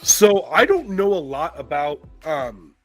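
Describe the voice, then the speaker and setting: A man's voice: a little high, sing-song, slow pace, faint music behind, rough recording. A man co-hosting a live stream, talking casually and enthusiastically as a fan.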